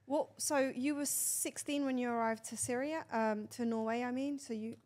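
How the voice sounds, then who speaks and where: Woman host, fairly high voice, chatty and curious interviewer. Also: steady tone, moderate pace, darkish tone. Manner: friendly, chatty, asks probing questions. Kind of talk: casual interview.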